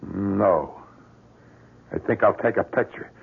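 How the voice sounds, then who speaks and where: An actor in an old-time radio drama playing a middle-aged police inspector, blunt, suspicious and embittered, pressing hard with gruff demands. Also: male voice, fairly low pitch, slow pace, exaggerated voice. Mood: menacing. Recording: muffled old radio broadcast.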